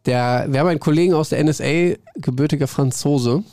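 A man with a cold, a sports reporter chatting as a guest in a podcast studio in a brisk, matter-of-fact way. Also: on the high side, dark tone, no room sound. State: has a cold. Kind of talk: casual chat.

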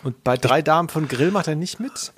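A man's voice: fairly high pitch, a little fast, fairly bright tone, husky. A man co-hosting a podcast, chatting in a casual and colloquial way. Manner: informal, colloquial. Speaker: podcast co-host. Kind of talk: casual chat.

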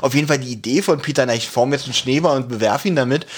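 Guy talking really fast, husky voice, wry sceptical podcast chat. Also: mid-range voice. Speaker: podcast co-host. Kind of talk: casual chat, commentary on an audio drama.

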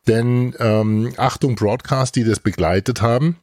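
A man's voice: medium pitch, steady tone, a little slow, a little mellow, no echo, studio quality. A man co-hosting a podcast in casual panel chat, with a joking, teasing way of talking. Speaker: podcast co-host.

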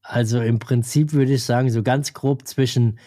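A man co-hosts a podcast in relaxed conversation, explaining at an unhurried pace. His tone is dark and mellow, and a slight cold leaves his throat scratchy. The sound is dry and close to the mic. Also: mid-range voice, no room sound. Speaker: podcast co-host. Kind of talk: casual chat.

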